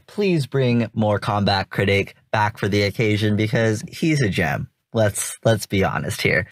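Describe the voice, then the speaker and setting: A man's voice: mid-range voice, no echo, clean studio sound. A man hosting a podcast in a chatty, folksy way, speaking directly to his listeners. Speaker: podcast host. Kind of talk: conversational podcast commentary.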